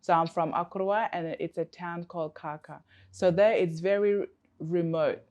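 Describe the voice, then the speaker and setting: A woman's voice: fairly low pitch, fairly even intonation, moderate pace, a little mellow. A woman from Africa, a coach, answering interview questions in an earnest, reflective way with many fillers and restarts.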